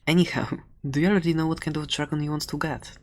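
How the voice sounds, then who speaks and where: A performer voicing a chatty, excitable dragon-egg shopkeeper and adventurer in a soft-spoken ASMR roleplay, playful and rambling with light sarcasm. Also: medium pitch, normal speed, natural voice. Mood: excited, playful.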